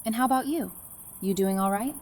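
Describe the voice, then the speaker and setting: A woman's voice: mid-range voice, fairly quick, darkish tone, lightly acted. A woman acting a friendly, teasing survivor in an audio drama, with a casual, colloquial way of talking. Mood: relaxed, warm.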